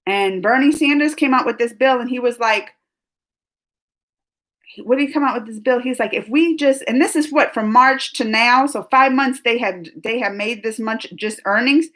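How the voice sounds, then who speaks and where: A woman's voice: mid-range voice, a bit bright. A woman in her thirties hosting a casual live stream, talking in an emotional, rambling way with many restarts and fillers. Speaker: livestream host.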